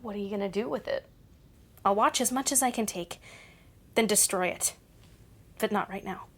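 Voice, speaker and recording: A woman playing a hurt but resolute young woman in an audio drama, at an ordinary pitch. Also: normal speed, close to an everyday voice. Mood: subdued. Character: wounded but resolute young woman confronting her abuser.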